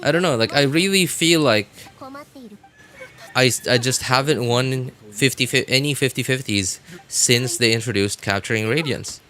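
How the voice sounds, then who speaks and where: A man's voice: medium pitch, normal speed, bright, forceful tone. A man chatting casually on a game livestream, talking to viewers in a hesitant way and often repeating his phrases. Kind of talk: casual livestream chat, reading and answering viewer comments. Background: background music.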